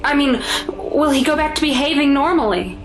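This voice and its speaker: A woman's voice: high-pitched, animated, moderate pace, faint music behind. A woman playing an anxious, protective mother in an audio drama, speaking in a worried, pleading way.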